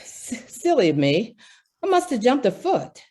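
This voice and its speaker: A woman's voice: on the high side, wildly swooping pitch, a little slow, mellow, slightly rough, exaggerated voice. An actress playing a gracious, slightly flustered lady friend in a 1940s radio drama, polite and effusive.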